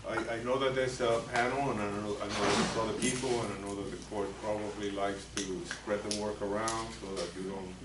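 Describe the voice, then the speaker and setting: Older spanish-accented guy lecturing softly, lots of uhs, lowish voice, far off in a noisy echoey room. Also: level tone, fairly quick, few pauses. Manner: soft-spoken, hesitant with many fillers. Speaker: psychologist presenting to lawyers. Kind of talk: continuing-education lecture. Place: meeting room.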